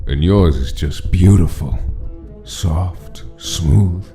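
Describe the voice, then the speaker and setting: A man voicing a menacing skeleton mobster in a comic dub, rough-voiced and mockingly flirtatious, with a taunting drawl. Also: low-pitched, very slow, dark tone, full character voice. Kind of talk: dramatic comic dub acting. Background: music.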